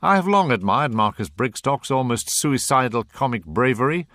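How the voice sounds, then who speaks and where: A man's voice: mid-range voice, lively intonation, moderate pace, a bit bright, no room sound, clean studio sound. A British man hosting a radio programme, presenting and interviewing in a pointed, wry manner. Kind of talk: scripted presenting and probing interview.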